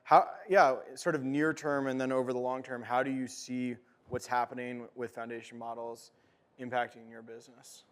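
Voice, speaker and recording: A man moderating a conference panel, putting questions in a conversational, loosely phrased and halting way. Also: mid-range voice, little pitch movement. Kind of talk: conversational on-stage interviewing. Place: stage at a conference.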